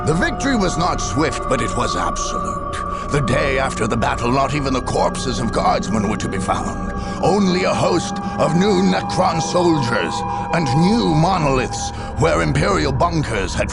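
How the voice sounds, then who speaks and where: An actor playing a solemn, ominous chronicler, narrating a video game in portentous, measured phrases with a gravelly voice. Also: male voice, a little high, full character voice. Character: ominous, solemn male chronicler of galactic war. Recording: loud music under the voice.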